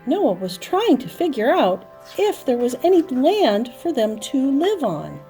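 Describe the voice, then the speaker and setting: Slow, very animated woman storyteller. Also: high voice. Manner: expressive, dramatic emphasis with repeated phrases and gasps. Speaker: children's storyteller at a church. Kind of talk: storytelling for young children. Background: music and sound effects.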